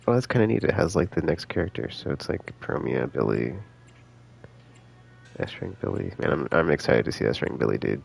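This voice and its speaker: A man's voice: a bass voice, very animated, normal speed, vocal fry, slightly rough. A man casually rambling through live game stream commentary, thinking aloud in a laid-back, slangy way. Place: indoors, live stream with game music behind.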